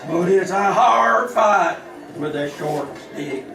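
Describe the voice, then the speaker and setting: A stammery ozark hillbilly farmer, high slow voice, repeats himself, old radio western. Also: male voice, gravelly, heavy background noise, exaggerated voice. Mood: plaintive. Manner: halting, repeats words, stammering drawl. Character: stammering backwoods farmer, hard-drinking loner, plaintive. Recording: roomy, distant vintage radio recording.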